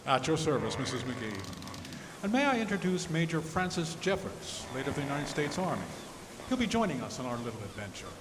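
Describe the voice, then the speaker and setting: Guy acting a genteel old-timey radio husband, very up and down, formal, loud noise behind him, roomy. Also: a little high, sing-song, brisk. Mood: courteous. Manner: courtly, formal phrasing. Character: genteel, affectionate husband and adventurer, reverend in 1908. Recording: noisy, echoey live stage recording.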